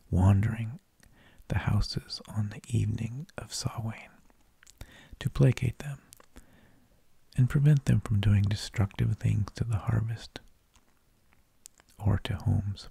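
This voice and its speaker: A man whispering an ASMR narration in a hushed, breathy, gravelly voice, unhurried, with drawn-out phrases and pauses, close to the microphone. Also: on the low side, no room sound. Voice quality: breathy, mostly unvoiced whisper with gravelly creak. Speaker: ASMR host.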